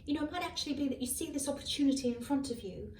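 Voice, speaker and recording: A hoarse woman with a fairly high voice, rambling through a tarot reading and correcting herself as she goes. She sounds distant from a misbehaving microphone in an echoey room, with faint traffic behind her. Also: very fast, darkish tone. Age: adult. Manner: rambling, musing with many ums and self-corrections. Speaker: tarot reader. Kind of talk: casual tarot reading to camera. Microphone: malfunctioning microphone at a distance. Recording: echoey room, far from a faulty mic. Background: faint passing cars.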